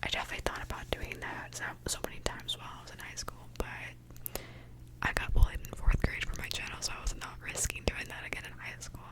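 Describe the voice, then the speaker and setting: A woman's voice: fast talker, poor audio. A young woman from Mexico, an ASMR creator, whispering a candid, chatty question-and-answer session.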